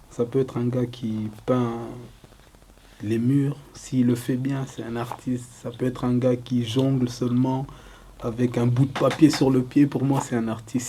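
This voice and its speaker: Relaxed young man, mellow voice. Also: medium pitch, a little hiss or hum, rough recording. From Congo. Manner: relaxed, loose, many fillers and "tu vois". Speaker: rapper interviewee. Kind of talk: casual interview answers.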